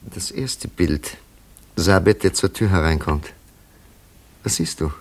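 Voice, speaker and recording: An actor playing a thoughtful investigator in a radio drama, calm and deliberate as he ponders a mystery. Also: low-pitched. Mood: brisk, methodical. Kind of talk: scripted radio drama acting.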